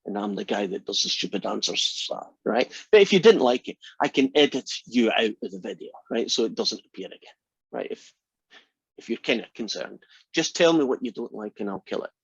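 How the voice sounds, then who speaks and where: A man's voice: on the low side, big pitch swings, brisk, vocal fry, slightly rough, no room sound. An older Scottish man in his sixties, a math instructor opening his class in a joking, teasing way. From Scotland. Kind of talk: class introduction. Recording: slightly dull lo-fi sound.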